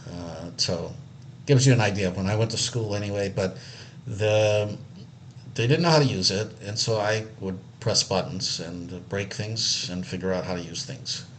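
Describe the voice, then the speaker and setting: Man from Israel, very low voice, loose meandering storyteller, faint room ambience behind him. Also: normal speed, a little hiss or hum. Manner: relaxed and meandering, many fillers and restarts. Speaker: accessibility analyst. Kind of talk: casual personal story.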